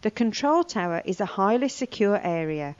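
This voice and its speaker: A woman's voice: medium pitch, big pitch swings, normal speed, darkish tone, no echo, muffled recording. A woman giving scripted lesson narration, reading vocabulary and a news report. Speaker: language lesson presenter.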